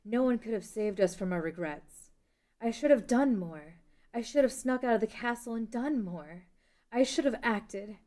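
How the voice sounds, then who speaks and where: Woman playing a solemn, higher-voiced princess. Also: lively intonation, normal speed, dark tone, natural voice. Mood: regretful. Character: regretful young princess, grave and resolute. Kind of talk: amateur table read of fantasy drama.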